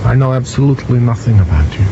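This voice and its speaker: An actor playing a cool, observant young diplomat with a measured, formal manner in an audio drama. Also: male voice, mid-range voice, lively intonation, lightly acted. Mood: coolly analytical. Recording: muffled, noisy outdoor ambience.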